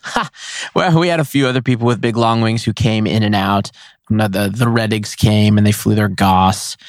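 A man hosting his own podcast, chatting casually and excitedly with frequent exclamations, his voice a little hoarse. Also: fairly low pitch, no echo, clean studio sound. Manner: enthusiastic, rambling, laughs often. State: slightly hoarse voice. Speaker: podcast host. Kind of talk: casual solo podcast recap.

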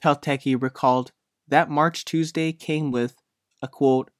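A man narrating a scripted news feature as a reporter, speaking in measured, deliberate phrases. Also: a little high, fairly even intonation, no echo, studio quality.

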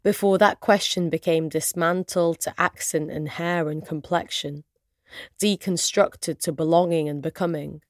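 A woman with a British accent and Arabic as her first language, reciting spoken word poetry in measured, deliberate phrases with pauses. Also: lowish voice, fairly even intonation, no room sound, clean studio sound.

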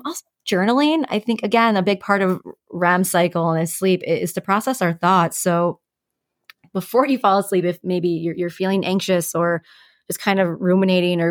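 A woman's voice: lowish voice, dry recording, studio quality. A woman co-hosting a podcast, giving advice in an informal, conversational way and explaining things through everyday examples. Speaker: podcast co-host giving sleep tips.

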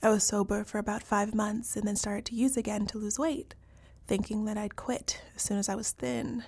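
Breathy, creaky, lonely woman inmate. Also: medium pitch, level tone, moderate pace, a little mellow. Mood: ashamed, melancholy. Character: lonely, ashamed repeat inmate battling addiction. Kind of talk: confessional audio play monologue.

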